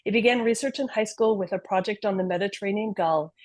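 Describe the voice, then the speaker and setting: A woman's voice: mid-range voice, quick pace, a little mellow, dry recording. A woman as program chair, reading a friendly introduction for a guest speaker on a video call.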